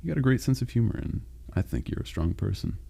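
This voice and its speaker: A man voicing a caring male sleep paralysis demon, speaking softly, with halting reassurance, in a comforting roleplay monologue. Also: lively intonation, exaggerated voice. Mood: soothing. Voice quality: deep gravelly growl. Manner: soft, reassuring, halting and unhurried.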